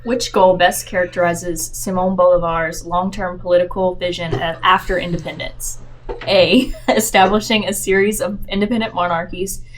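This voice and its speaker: A woman's voice: on the low side, steady tone, moderate pace, slight background noise, low-quality recording. A woman reading multiple-choice quiz questions aloud during a board game. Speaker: game player. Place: indoor room at a university.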